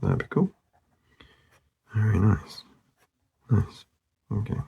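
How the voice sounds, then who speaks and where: A man narrating casually as he draws, laid-back and murmuring, with a husky, gravelly voice that often trails off. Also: fairly low pitch, huge pitch swings, slow, warm tone, highs cut off. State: losing his voice, hoarse. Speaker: artist hosting a drawing channel. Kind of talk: casual narration while drawing.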